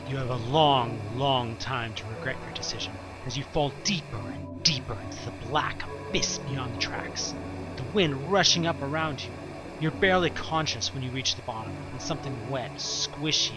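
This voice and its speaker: A man reading scripted second-person horror narration in a suspenseful, expressive storytelling manner with deliberate pauses. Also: medium pitch. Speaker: narrator of an audio play. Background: music.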